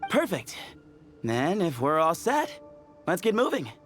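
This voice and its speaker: Animated young expedition leader. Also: male voice, fairly high pitch, a little slow, faint music behind, full character voice. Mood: encouraging. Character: genial, brisk young male expedition leader. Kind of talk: video game character dialogue.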